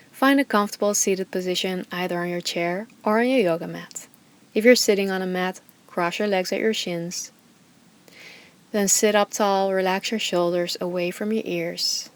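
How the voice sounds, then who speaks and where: A woman yoga teacher leading a guided meditation with slow, soothing instructions, sometimes dropping to a soft whisper. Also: medium pitch.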